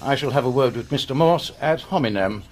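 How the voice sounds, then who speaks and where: An actor playing a brisk, sardonic, superior British surgeon in a radio drama, with a dry lecturing manner. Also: male voice, medium pitch, animated, slight background noise, close to an everyday voice. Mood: brisk, sarcastic.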